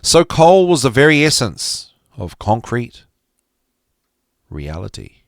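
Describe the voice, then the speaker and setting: Man reading aloud with very lively pitch swings. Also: slow pace, vocal fry, no echo, studio quality. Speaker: podcast host reading aloud from a psychology text. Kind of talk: expressive reading of a psychology lecture.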